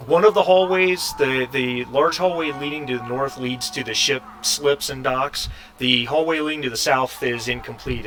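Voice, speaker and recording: A man running a tabletop roleplaying game as game master, explaining the setting in a halting, casual, conversational way over an online group call. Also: mid-range voice, a bit bright. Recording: noisy, distant microphone.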